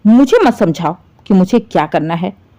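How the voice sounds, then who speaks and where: A woman's voice: on the low side, animated, normal speed, dark tone, close to an everyday voice. A woman voicing a bitter, middle-aged mother-in-law who scolds with sarcasm and contempt in a story. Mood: sarcastic, bitter.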